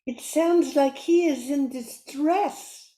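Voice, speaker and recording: Casting: polite, starstruck assistant played by a woman, gentle mellow tone, tentative and unhurried, roomy sound, radio drama. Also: high voice. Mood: nervous. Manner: tentative, hesitant.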